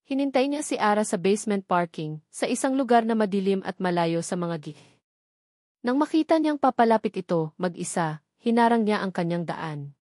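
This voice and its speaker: A woman narrating a scripted romantic drama in deliberate, dramatic short phrases. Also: medium pitch, animated, a little mellow, no room sound, studio quality.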